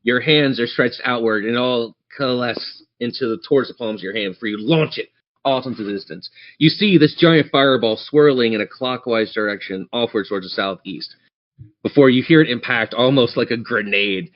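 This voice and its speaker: A man's voice: medium pitch, normal speed. Man game mastering, slightly muffled audio. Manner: descriptive, addresses players directly. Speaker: game master of a tabletop campaign. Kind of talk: improvised tabletop game narration.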